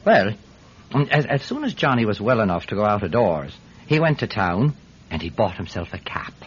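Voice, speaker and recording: Evasive Irish villager, radio drama, rambling, lively intonation, dull lo-fi recording. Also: male voice, on the low side, normal speed, slightly rough. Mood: earnest storytelling. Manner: hesitant, rambling, wheedling. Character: talkative, evasive Irish villager testifying about his friend.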